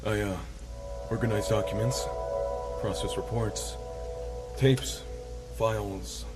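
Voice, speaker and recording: A confused, defensive captive manager acted by a man answers hesitantly in a slightly low voice. His delivery is anxious and unhurried, in a horror series scene with loud music beneath it. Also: fairly even intonation. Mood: nervous, guarded. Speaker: files department manager. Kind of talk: horror web series acting.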